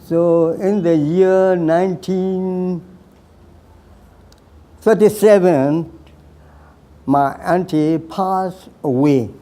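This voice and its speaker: Slow-speaking man in his nineties. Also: high-pitched, fairly even intonation, mellow. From Burma. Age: 90s. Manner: hesitant, with pauses and repeated phrases. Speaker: veteran recounting his life. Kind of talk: slow personal storytelling.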